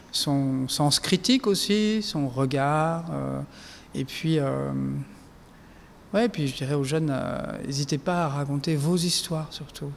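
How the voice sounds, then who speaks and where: A man's voice: on the high side, vocal fry, long reverb tail, a little hiss or hum. A man speaking as a documentary filmmaker giving reflective interview answers, hesitant, with many fillers and restarts.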